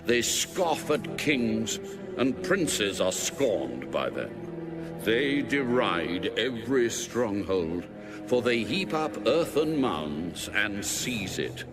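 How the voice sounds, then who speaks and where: An actor playing a stern, majestic God who solemnly declaims judgment in a dramatized audio Bible. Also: male voice, on the low side, sing-song, very slow, heavily acted. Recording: music bed under the voice.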